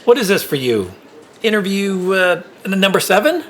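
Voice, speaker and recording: An actor playing a kindly older company employee in an audio drama, folksy, wry and a little hesitant. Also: male voice, sits high, sing-song, moderate pace, a bit bright, a little hiss or hum. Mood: wry, friendly. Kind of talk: naturalistic audio drama dialogue.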